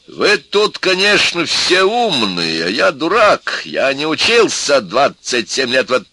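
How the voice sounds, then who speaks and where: Here is a man playing a gruff plumber in an audio story, a simple man with a hot temper. He talks bluntly and loudly in everyday words. His pitch jumps high with indignation and the tone is hard and forceful. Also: huge pitch swings, measured pace, no room sound, full character voice. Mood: exploding, defiant. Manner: blunt, loud, colloquial, indignant.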